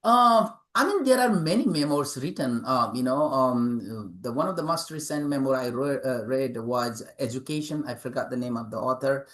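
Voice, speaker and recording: A man's voice: medium pitch, animated, normal speed, few pauses, fairly bright tone. Hesitant man with a Nepali accent. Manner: hesitant, many fillers and repeated words. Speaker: interviewed author and professor. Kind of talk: interview answers.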